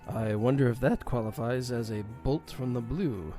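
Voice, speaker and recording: A man's voice: medium pitch, normal speed, over music, lightly acted. A man voicing a suave, unflappable secret agent with a wry manner in an audio drama. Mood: cool, wry.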